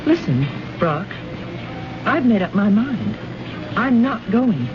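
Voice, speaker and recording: A woman's voice: mid-range voice, sing-song, takes it slowly. An actress playing a proud, defiant young woman diplomat in an old-time radio drama, speaking in a haughty, formal, theatrical way. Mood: anxious. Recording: dull vintage radio recording, music behind.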